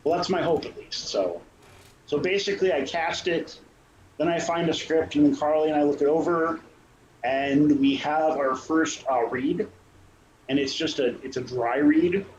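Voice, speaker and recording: Man, slightly high voice, rambling director in casual discussion, echoey room, muffled sound. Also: moderate pace. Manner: rambling, repeats and rephrases himself. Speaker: radio play director and troupe leader. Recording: roomy dull sound with faint noise.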